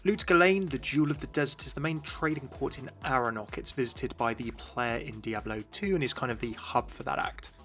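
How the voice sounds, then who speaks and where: A man's voice: medium pitch, fairly quick, few pauses, fairly bright tone. A man hosting a gaming video, giving scripted explanations in narration. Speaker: video host. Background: music.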